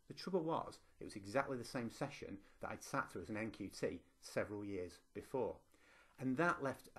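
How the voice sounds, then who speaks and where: A man's voice: on the low side, husky. A middle-aged man from northwest England with a Lancashire accent, giving a conversational presentation to teachers, with frequent ums and restarts. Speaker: university lecturer and teacher. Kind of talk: online conference presentation.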